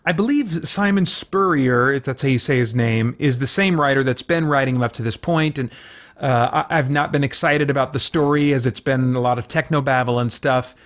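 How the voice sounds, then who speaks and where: A man's voice: mid-range voice, moderate pace. A man hosting a review show, talking casually in unscripted commentary and searching for his words as he thinks aloud. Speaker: host of a comic book review show.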